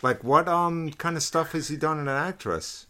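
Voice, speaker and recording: A man interviewing a guest in a relaxed, friendly way, stammering a little as he talks. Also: a little high, moderate pace, a little hiss or hum. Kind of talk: casual interview.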